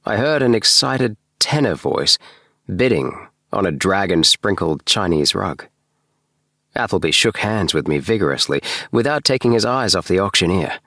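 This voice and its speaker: A man narrating a bedtime story in a measured, expressive storytelling manner, recorded in a small booth. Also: low-pitched, no room sound, studio quality. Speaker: audiobook narrator.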